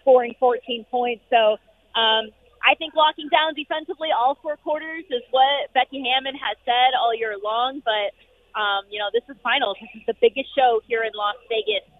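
A woman sports reporter calling in from an arena, upbeat and full of fillers, her voice going hoarse. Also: sits high, slight background noise. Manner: upbeat, rapid, many fillers. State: losing her voice. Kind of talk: phone-in sports radio chat. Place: noisy arena. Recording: tinny phone line.

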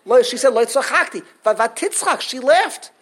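Animated woman teaching a Torah class. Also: high voice, normal speed, breathy edge. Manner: animated, acts out quotes, mixes in Hebrew terms. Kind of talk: Torah class lecture to a small group.

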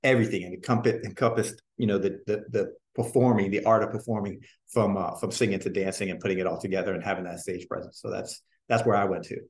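A man in his forties from New Jersey, telling stories from his life in a relaxed, rambling interview way with many fillers. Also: on the low side, fast talker, hardly any pauses. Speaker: guest, singer and dancer. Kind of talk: casual interview storytelling. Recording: roomy echo.

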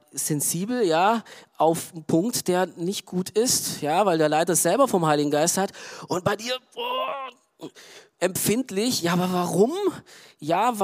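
Man preaching conversationally. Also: high-pitched. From Romania. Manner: conversational, frequent "ja" and "ähm" fillers; drops to quiet asides. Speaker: pastor. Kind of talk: sermon.

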